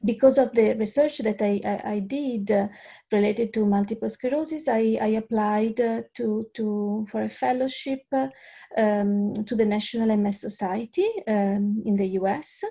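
Italian-accented neurologist guest, middle-aged woman; husky, gravelly, mellow; hesitant, lots of ums. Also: medium pitch, steady tone, normal speed. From Italy. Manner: hesitant, many fillers and restarts. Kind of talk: podcast interview.

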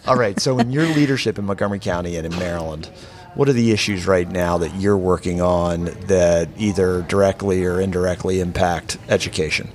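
A man hosting a podcast interview, friendly and relaxed, with quick affirmations. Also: deep voice. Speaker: podcast host. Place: conference venue.

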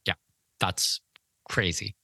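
A man's voice: slow, pushed and bright, no room sound, clean studio sound. A man hosting a conversational interview, talking in an affirming, enthusiastic manner. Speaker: podcast host. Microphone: close mic on an internet call.

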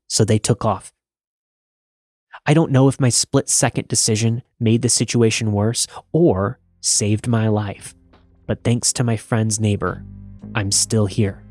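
A man with a fairly deep voice reads a scripted horror story as a podcast host. The sound is dry, with hardly any room reflection around his words. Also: a little mellow, dry recording.

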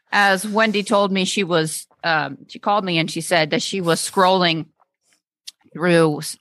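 A woman's voice: lowish voice, pushed and bright, dry recording. A woman with a Texan accent co-hosting a podcast in casual chat, rambling with restarts and laughing often. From Texas, United States. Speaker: podcast co-host.

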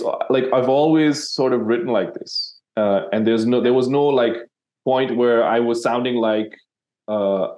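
A man's voice: mid-range voice, dark tone. A man from Sri Lanka, a copywriter guest in an interview, talking casually and rambling with many fillers and restarts.

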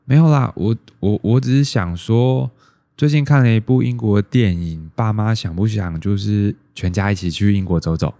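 A man's voice: lowish voice, normal speed, warm tone, no room sound, natural voice. An actor with a Taiwanese Mandarin accent playing a timid, despondent bank employee in his thirties, narrating his life introspectively in a radio drama. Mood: hesitant. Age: 30s.

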